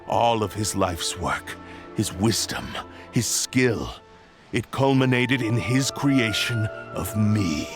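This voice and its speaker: An actor voicing an arrogant, ruthless sentient sword that possesses a man, speaking in a cold, proud and menacing way. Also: fairly low pitch. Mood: solemn. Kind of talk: video game voice acting. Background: game background music.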